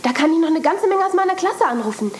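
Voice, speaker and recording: A woman's voice: very high voice, lively intonation, fast. An actress playing an upbeat, eager young woman planning a party, in an audio drama. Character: cheerful, enthusiastic young woman hostess. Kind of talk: 1980s radio play acting.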